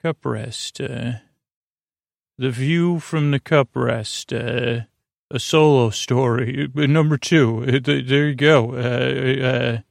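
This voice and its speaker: A man's voice: mid-range voice, fairly even intonation, gravelly, no room sound, clean studio sound. A man hosting a sleep podcast, giving a soothing, meandering monologue that halts, corrects itself and drifts into tangents. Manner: rambling, halting, frequent tangents and self-corrections; soothing, lulling delivery. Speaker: podcast host.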